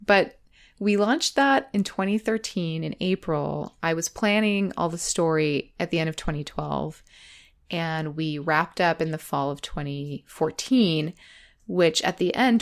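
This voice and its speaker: A woman podcast host speaking in a reflective scripted monologue, with measured phrasing and deliberate pauses. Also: fairly low pitch. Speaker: podcast host, audio drama creator.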